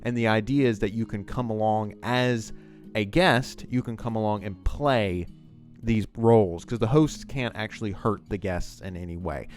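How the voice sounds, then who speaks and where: Low-voiced man giving casual commentary. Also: normal speed, faint music behind. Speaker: video essayist host. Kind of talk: casual, unscripted explanatory commentary on TV writing.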